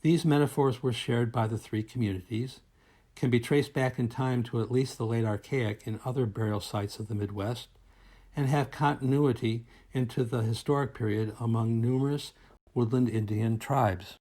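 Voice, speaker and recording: A man reading a scripted academic presentation aloud in a formal, measured and deliberate manner. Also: mid-range voice, fairly even intonation, warm tone. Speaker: academic researcher presenting a paper.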